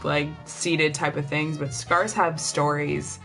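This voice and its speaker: A woman's voice: flat delivery, slow, gravelly, a bit bright. A young woman chatting casually on a live stream, blunt and profane, rambling with lots of filler words. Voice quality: lower voice than most women. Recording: faint music behind. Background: faint music.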